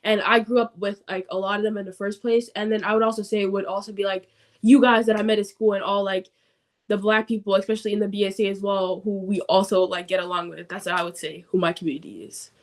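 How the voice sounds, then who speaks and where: Teen girl podcast host, mid pitch, loose hesitant delivery with lots of fillers. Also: little pitch movement, quick pace. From Nigerian family background. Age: teens. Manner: hesitant, many ums and likes. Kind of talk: casual interview chat.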